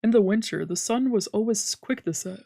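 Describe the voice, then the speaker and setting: Soft-toned woman reading a story aloud. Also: medium pitch, brisk, creaky, no room sound, studio quality. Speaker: streamer reading a visual novel aloud. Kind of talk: let's play narration, reading and translating dialogue aloud.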